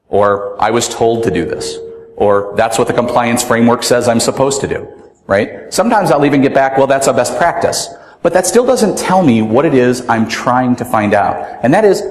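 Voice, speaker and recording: A man with a very loud voice giving a conference talk, animated and rhetorical, often asking the audience "right?". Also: mid-range voice. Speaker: conference speaker. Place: large conference room. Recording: echoey hall with long reverb.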